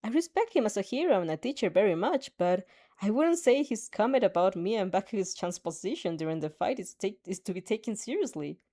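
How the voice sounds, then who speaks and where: A woman voicing a deadpan, exasperated teen girl. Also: mid-range voice, fairly quick. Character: dry, deadpan teen heroine.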